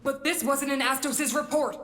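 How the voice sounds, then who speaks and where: A woman's voice: sits high, fairly even intonation, moderate pace, fairly bright tone. A woman playing a decisive sci-fi officer in a video game, terse, urgent and authoritative. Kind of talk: video game cutscene acting. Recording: echoey room with heavy noise.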